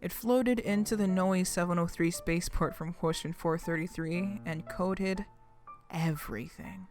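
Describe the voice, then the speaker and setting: A woman's voice: lowish voice, a little slow, darkish tone, faint music behind, natural voice. An actress playing a reflective, homesick refugee woman reading her secret diary in an audio drama, confiding and wry. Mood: wistful, hushed.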